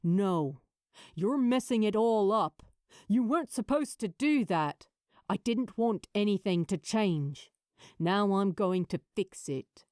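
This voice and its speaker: Storybook role read by a woman: composed, resolute man with quiet menace, unhurried delivery. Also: medium pitch, dry recording, studio quality. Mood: calm resolve. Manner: calm, firm. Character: calm, firm, quietly menacing male creator.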